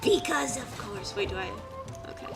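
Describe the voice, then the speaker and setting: A woman giving casual live gameplay commentary, expressive and repeating phrases when she gets flustered. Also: on the high side, normal speed. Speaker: streamer. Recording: loud game music behind the voice. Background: video game music and effects.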